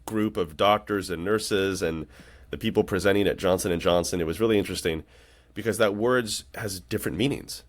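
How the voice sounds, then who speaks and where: A man's voice: low-pitched, brisk. A man in his late thirties chatting casually on a podcast in an earnest, reflective, rambling way. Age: late 30s. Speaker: podcast conversation partner.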